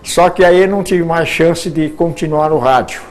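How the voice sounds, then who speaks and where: A man's voice: fairly high pitch, normal speed. An older man, a retired radio announcer telling his life story, rambling with frequent restarts.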